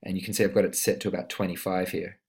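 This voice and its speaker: Low-voiced man narrating a music software tutorial. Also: little pitch movement, rapid-fire. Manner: informal, step-by-step explaining. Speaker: instructor of a music production tutorial. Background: synth instrument notes played during the demonstration.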